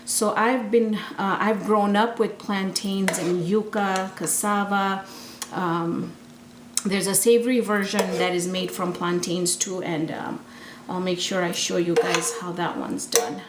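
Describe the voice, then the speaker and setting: A woman's voice: mid-range voice, fairly even intonation, unhurried. A woman from Tanzania giving a casual, enthusiastic home cooking demonstration, repeating words for emphasis. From Tanzania, East Africa. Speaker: home cooking show host. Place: indoor kitchen. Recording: echoey room with faint background noise.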